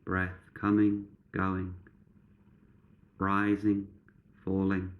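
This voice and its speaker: Guy leading a guided meditation, really slow, lowish voice, short phrases w pauses. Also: completely flat delivery, narrow, tinny audio.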